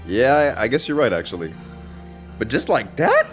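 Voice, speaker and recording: A man's voice: medium pitch, wildly swooping pitch, normal speed, soft background music. A man giving casual, slangy, profane and incredulous reaction commentary on a video game. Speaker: gaming commentator.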